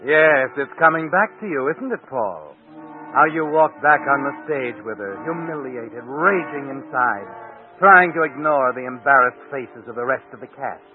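An actor playing an ominous narrator in an old-time radio drama, speaking in a deliberate, insinuating, theatrical way. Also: male voice, medium pitch, expressive, natural voice. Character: ominous male narrator addressing a guilty man. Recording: dull old radio recording with music.

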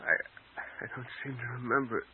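An actor playing a groggy, bewildered injured young man in a 1940s radio drama, speaking haltingly. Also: mid-range voice, flat delivery, husky. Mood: dazed, weak. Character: dazed injured young man waking confused in hospital. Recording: old narrowband radio recording.

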